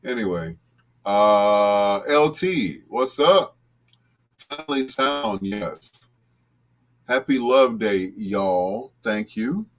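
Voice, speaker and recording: A man's voice: on the low side, animated, drawn-out words, fairly bright tone. A man hosting a casual livestream, greeting viewers by name in a folksy way. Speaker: host of a bedtime stories livestream.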